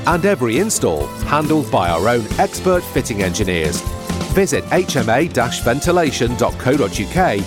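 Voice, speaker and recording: Man reading a radio advert over music. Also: mid-range voice. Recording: music bed behind voice.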